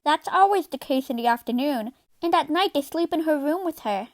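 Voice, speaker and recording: A woman plays an earnest young orphan girl with a very high voice, recorded close and dry. She speaks eagerly and urgently, full of determination, in the manner of an anime fan dub. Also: animated, a little fast, dry recording. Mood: thoughtful, questioning.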